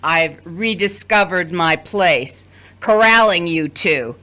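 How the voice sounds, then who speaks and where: A woman's voice: fairly low pitch, lively intonation, slow, sharp tone, heavily acted. An actress playing a bossy, wry woman in an audio drama, with a theatrical, commanding manner. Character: bossy, wry woman corralling her family.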